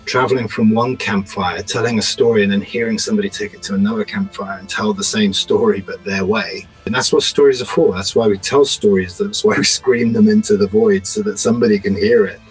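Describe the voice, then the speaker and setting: A man's voice: lowish voice, fairly even intonation, brisk, hardly any pauses, faint music behind, low-quality recording. A man talking thoughtfully in an interview, building his points with rhythmic repetition. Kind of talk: reflective interview talk.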